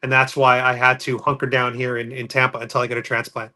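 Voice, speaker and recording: Bright-voiced man telling stories plainly. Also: mid-range voice, completely flat delivery, quick pace. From New York City. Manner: matter-of-fact, orderly storytelling. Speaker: podcast guest. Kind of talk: casual podcast interview.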